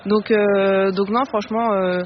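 A woman on a football show panel, talking in relaxed casual chat with many fillers. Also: medium pitch, a little slow. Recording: muffled stream with faint noise.

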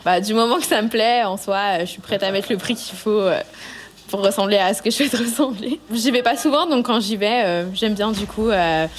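A woman salon client speaking casually, pausing to search for words. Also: medium pitch, a little hiss or hum. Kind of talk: interview. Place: hair salon.

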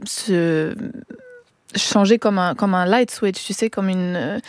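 A woman's voice: medium pitch, slow pace. A young woman guest on a radio show in a studio, talking casually with many restarts and English words mixed into her French. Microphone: studio microphone.

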